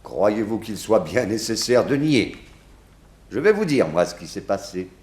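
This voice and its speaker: An actor playing a blunt, accusing small-town sheriff, insistently interrogating in 1960s radio drama style. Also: male voice, on the low side, big pitch swings, unhurried, darkish tone, echoey room. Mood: accusing, forceful.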